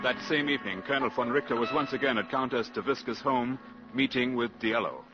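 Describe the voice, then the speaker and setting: An actor narrating a wartime spy drama in a formal, measured 1950s radio style. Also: male voice, medium pitch, over loud music, close to an everyday voice. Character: grave storyteller of a wartime spy tale. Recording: dull 1950s radio broadcast.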